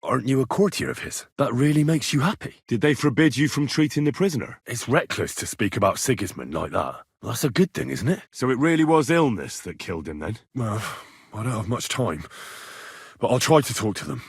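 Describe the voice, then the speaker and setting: An actor playing an earnest, inquisitive young medieval blacksmith turned adventurer in a video game, speaking in a plain-spoken way. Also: male voice, medium pitch, very animated, a little fast, breathy edge, no echo, studio quality. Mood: concerned, questioning. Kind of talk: video game dialogue lines.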